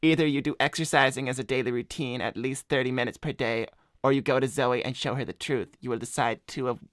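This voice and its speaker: A laid-back scumbag hedgehog played by a man with a mid-pitched voice. He talks in slangy, deadpan, self-assured livestream chat. The recording is close and dry. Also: a little fast, no room sound, lightly acted. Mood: reading aloud. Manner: slangy, swearing, deadpan bragging. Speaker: cartoon character co-hosting an advice livestream. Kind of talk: improvised in-character chat, reading donor messages.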